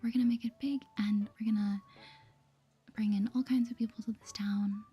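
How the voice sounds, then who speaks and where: A woman speaking softly in a breathy whisper, chatting through an ASMR game playthrough. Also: fairly high pitch, steady tone, moderate pace. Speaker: gaming video host. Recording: soft music behind the voice. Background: music.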